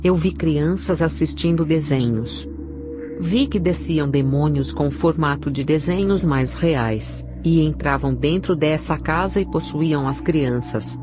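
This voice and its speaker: A woman giving a scripted narration of a religious testimony in a solemn, measured way. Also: low voice. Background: background music.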